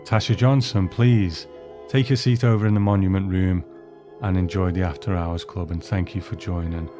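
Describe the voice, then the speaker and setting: A male podcast host with a notably deep voice reads out acknowledgements to his listeners. His phrasing is courteous and measured, and music plays clearly behind him. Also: steady tone. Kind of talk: scripted listener thank-you announcements.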